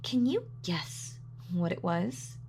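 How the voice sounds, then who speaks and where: A woman acts out a sadistic female supervillain in a dramatic roleplay monologue. She gloats and mocks, savoring each word at a slow pace, with a pitch that swings widely. A little noise sits behind the voice. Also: big pitch swings, creaky, darkish tone, exaggerated voice. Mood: mocking amusement. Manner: theatrical, taunting, savoring her words. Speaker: voice actor.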